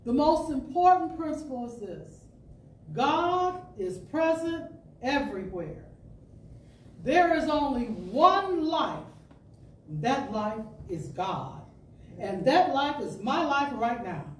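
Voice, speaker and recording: A woman giving an expressive reading of an inspirational talk, like a storyteller, with deliberate phrasing and emphatic pauses. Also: high-pitched. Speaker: storyteller and speaker at a spiritual center. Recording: distant roomy pickup with background noise.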